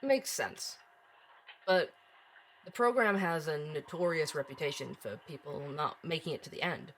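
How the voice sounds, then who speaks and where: A man's voice: fairly high pitch, normal speed, noisy background, close to an everyday voice. An actor playing a probing, skeptical male investigative host in an audio drama, with hesitant but pointed questioning. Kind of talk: audio drama interview and narration.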